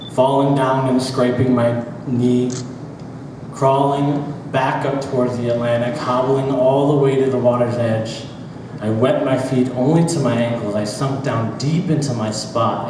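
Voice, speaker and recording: A man giving a dramatic, deliberate literary reading of first-person prose, with drawn-out pauses and rhythmic repetition. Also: medium pitch. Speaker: author reading own work aloud. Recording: very echoey hall, distant mic, background noise.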